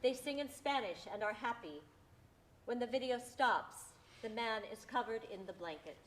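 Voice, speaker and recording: A woman's voice: on the high side, lively intonation, moderate pace, slight background noise, close to an everyday voice, low-quality recording. A woman reading stage directions as scripted narration for a play reading.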